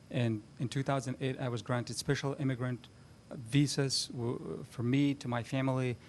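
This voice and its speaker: Halting Afghan-born man at a podium. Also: medium pitch, slight background noise. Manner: halting, many fillers and repeats. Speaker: sheriff's deputy. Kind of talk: public remarks at a meeting. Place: county commission chamber.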